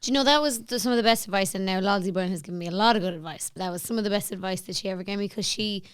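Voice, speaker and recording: A woman's voice: mid-range voice, rapid-fire, clear, resonant tone, no echo, studio quality. An Irish woman hosting a podcast, chatting casually with her guest in an interview. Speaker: podcast host.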